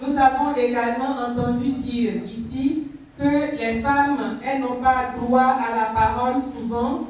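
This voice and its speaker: A woman's voice: fairly high pitch, fairly even intonation, measured pace, darkish tone. A woman giving a public address, recorded from a distance in an echoing hall. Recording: very echoey hall, distant microphone.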